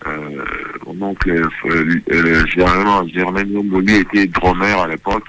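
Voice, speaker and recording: Male musician guest from Congo, low bright voice, casual and halting delivery. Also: steady tone. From Congo, Kinshasa. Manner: hesitant, searching for words. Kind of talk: casual interview talk.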